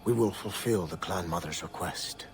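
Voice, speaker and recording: A man's voice: low-pitched, moderate pace, natural voice. A man playing a dutiful, resolute Native village warrior in a video game, speaking firmly and formally. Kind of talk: dramatic video game acting. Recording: light music behind the voice.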